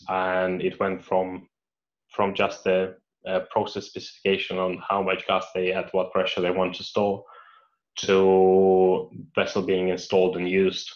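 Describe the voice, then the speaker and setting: Low, flat-voiced engineer. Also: male voice. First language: Russian. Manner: hesitant, many restarts and fillers. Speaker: mechanical engineer. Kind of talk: answering read-out questions in a career Q&A. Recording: dull lo-fi audio.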